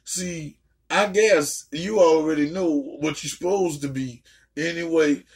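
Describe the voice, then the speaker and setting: A man's voice: fairly high pitch, unhurried. A man chatting casually as a reaction host, blunt, slangy and profane, often repeating words for emphasis. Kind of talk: casual chat reacting to a story.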